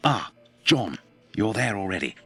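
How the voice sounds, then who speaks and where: An actor playing a genial, candid Victorian hospital doctor in a video game, talking politely and familiarly. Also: male voice, lowish voice, big pitch swings, a little slow, gravelly, fairly bright tone, soft background music, exaggerated voice. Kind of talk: dramatic video game dialogue.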